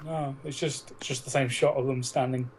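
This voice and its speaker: A man's voice: mid-range voice, steady tone, moderate pace, crowd noise. A man co-hosting a podcast with casual, joking, argumentative banter about films and plenty of swearing. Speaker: podcast co-host.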